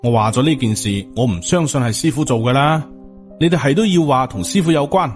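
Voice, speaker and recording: A man's voice: medium pitch, brisk, faint music behind, natural voice. A man with a Hong Kong Cantonese accent voicing a dejected, worried, loyal disciple in an audiobook, with a reproachful manner.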